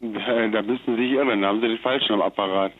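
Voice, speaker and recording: Fast-talking man on a noisy phone line. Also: on the low side, fairly even intonation. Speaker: prank call recipient. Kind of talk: casual phone conversation. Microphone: telephone.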